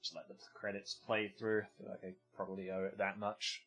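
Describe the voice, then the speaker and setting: A man's voice: deep voice, level tone, fairly quick, fairly bright tone, husky, soft background music. A man streaming and commenting casually on games, with offhand, meandering remarks. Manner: offhand, meandering, muttering asides.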